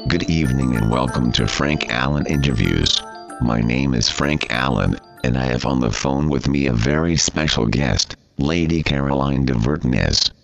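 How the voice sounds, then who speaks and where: A synthetic computer voice playing a formal, easily flustered male interviewer, very deep and gravelly. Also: normal speed, soft background music. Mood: formal, dry. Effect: computer text-to-speech voice. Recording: dull, muffled audio.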